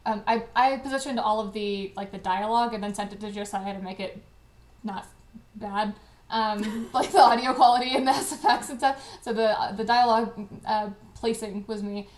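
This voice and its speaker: A woman co-hosting a casual livestream chat, speaking in an informal, animated way. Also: mid-range voice, moderate pace. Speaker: host, audio drama creator and dialogue editor. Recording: echoey room.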